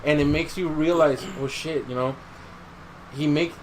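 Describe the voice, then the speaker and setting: A man's voice: fairly high pitch, steady tone, moderate pace, slight background noise, rough recording. A man hosting a podcast in casual chat, swearing freely and giving his opinions in slangy talk. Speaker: podcast host.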